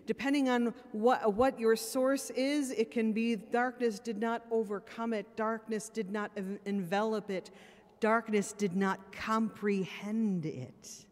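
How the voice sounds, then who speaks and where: Woman, priest, sermon, slow unhurried delivery, earnest with wry asides, a bit tired, long church reverb. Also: fairly high pitch, steady tone, darkish tone. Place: church sanctuary, at a pulpit. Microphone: pulpit microphone.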